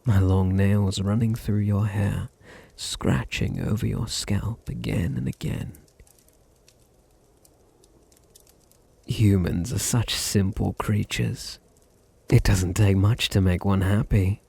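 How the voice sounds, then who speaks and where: A dominant aristocratic vampire played by a man speaks in a low British voice, unhurried and husky. He purrs with possessive condescension, teasing his listener softly in an intimate ASMR roleplay. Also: steady tone, no echo, exaggerated voice. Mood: teasing, tender. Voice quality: deep, husky, gravelly.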